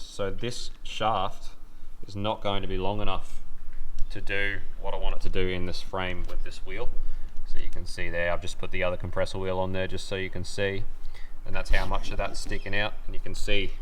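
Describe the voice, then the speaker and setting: A man's voice: low voice, steady tone, normal speed, pushed and bright. A man with an Australian accent giving casual hands-on vlog commentary in a blunt, laid-back, slangy way. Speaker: host of a DIY car build vlog. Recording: clear background noise on amateur video.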